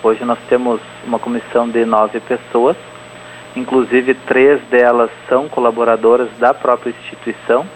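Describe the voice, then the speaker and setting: A man in his forties gives a radio interview, talking through his answers with many fillers and restarts. His voice sits at a middle pitch, with a faint hiss of noise behind it. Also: flat delivery, normal speed, low-quality recording. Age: 40s. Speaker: interview guest, event organizer.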